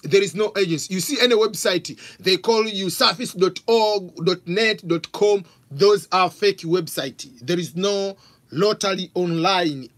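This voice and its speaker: A man in his forties from Tanzania with a Tanzanian accent, hosting a live question-and-answer stream from a home office on a studio mic, reading out viewer questions. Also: sits high. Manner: animated, gestures a lot, reads out questions. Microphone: studio mic on stand with pop filter.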